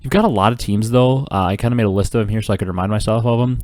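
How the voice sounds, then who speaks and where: Congested young man, fast solo podcast. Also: fairly low pitch, no echo, studio quality. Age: 20s. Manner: rambling, filler-heavy, excited esports talk. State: congested with a cold. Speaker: podcast host. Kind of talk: casual solo podcast commentary.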